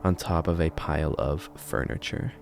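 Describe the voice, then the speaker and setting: A man's voice: rumbling low, normal speed, creaky. A man narrating a horror video essay in a conversational, storytelling way. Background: background music.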